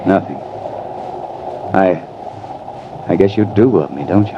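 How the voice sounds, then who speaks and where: On a dull, hissy vintage radio recording comes a disillusioned, sardonic husband. His low voice moves expressively, with wry, mannered 1950s radio drama delivery. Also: measured pace. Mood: hurt, uneasy.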